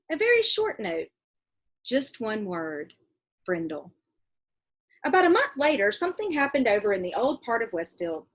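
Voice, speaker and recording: A woman reads a storybook aloud in the manner of a teacher. Her voice sits fairly high and moves up and down in lively fashion, with a husky edge. She is expressive and explanatory, turning to her young listeners as she goes. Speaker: teacher reading a book aloud to pupils. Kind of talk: read-aloud storytelling with asides to children.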